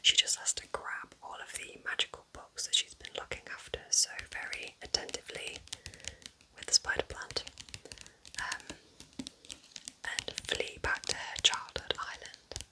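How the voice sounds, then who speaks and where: Woman, whispered voice, ASMR roleplay, gentle, chatty, unhurried book recommendations. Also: rough recording. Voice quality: soft whisper. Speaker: ASMR host playing a kind witch recommending books.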